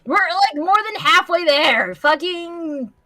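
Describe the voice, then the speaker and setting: A woman's voice: very high-pitched, animated, takes it slowly, over a noisy crowd. A woman giving a playful, theatrical amateur live reading of a story, close to the microphone. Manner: theatrical, foul-mouthed, playful.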